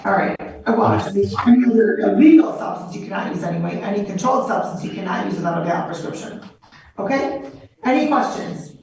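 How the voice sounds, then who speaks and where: A woman presiding as a judge in court, speaking in a firm, measured way and stopping people to question them. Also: medium pitch, a little mellow. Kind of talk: court proceedings over video. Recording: echoey and distant with faint noise.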